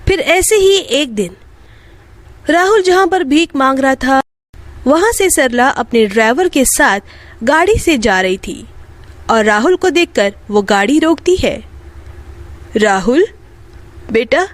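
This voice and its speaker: A woman's voice: high-pitched, lively intonation, a little slow, no room sound. A woman narrating a scripted children's bedtime story as the storyteller.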